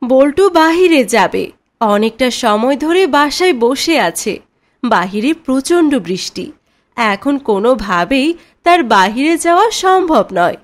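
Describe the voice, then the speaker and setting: A woman's voice: sits high, very animated, a little slow. A woman narrating a scripted cartoon story as its storyteller.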